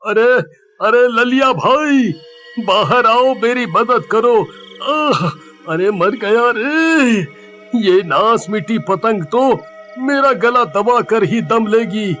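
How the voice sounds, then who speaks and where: A man's voice: very high voice, expressive, slow, a bit bright, heavily acted. A man voicing a panicky, complaining villager in a comic horror cartoon story, whiny and pleading. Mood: panicked pleading. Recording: dull, lo-fi with music. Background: music.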